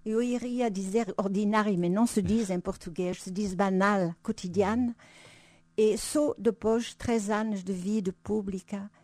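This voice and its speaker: Slow, thoughtful woman. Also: mid-range voice, fairly even intonation, darkish tone, no echo. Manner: thoughtful, searching for words, frequent "hein?" tags. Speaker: religious sister, radio guest. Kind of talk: reflective conversational explanation.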